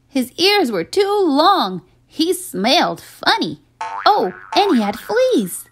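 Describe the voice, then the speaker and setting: A woman reading a children's story aloud in an expressive way with dramatic pauses. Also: sits high, a little hiss or hum. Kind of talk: animated read-aloud narration.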